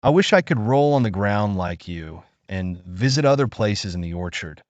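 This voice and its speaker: Storybook mango girl, played by a low-voiced man: wistful, doubtful, earnest, closely recorded. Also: lively intonation, normal speed, a little mellow, no echo, highs cut off, natural voice. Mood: wistful longing. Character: wistful young female mango, sweet and doubtful.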